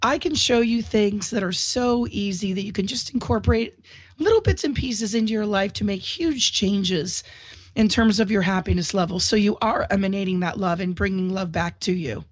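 A woman hosting a solo talk show, speaking in an upbeat, chatty, encouraging way while getting over a cold and clearing her throat now and then. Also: medium pitch, normal speed, no echo. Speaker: host. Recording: dull dry lo-fi audio.

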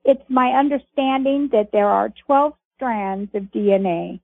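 A woman reading a transcript aloud in a measured, deliberate way over a telephone. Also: fairly high pitch, gravelly, husky, no echo. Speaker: reader of archived messages on a conference call. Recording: muffled phone-line audio.

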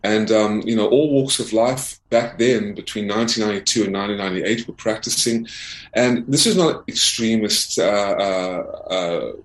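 A man's voice: lowish voice, normal speed, slight background noise. A man from South Africa speaking as an investigative reporter and interview guest, earnestly presenting in a formal, emphatic way and often repeating himself. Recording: roomy, echoing voice.

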